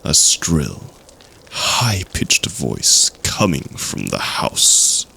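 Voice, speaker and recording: A man playing a storyteller recalling a spooky childhood tale, with slow, suspenseful, dramatic phrasing in an audio roleplay. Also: very animated, pushed and bright, a little hiss or hum, exaggerated voice. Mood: ominous suspense. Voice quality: deep, gravelly.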